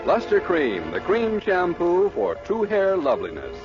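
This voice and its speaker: A man's voice: high voice, wildly swooping pitch, measured pace, loud music behind the voice. A man announcing in the polished, emphatic style of a 1940s radio host reading scripted copy. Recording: muffled, noisy 1940s radio broadcast.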